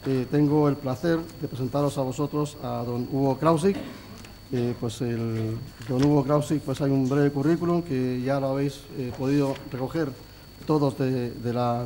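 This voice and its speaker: Halting host introducing a speaker. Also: male voice, medium pitch, mellow, a little hiss or hum, low-quality recording. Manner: halting, many fillers and restarts. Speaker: event host. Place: lecture hall.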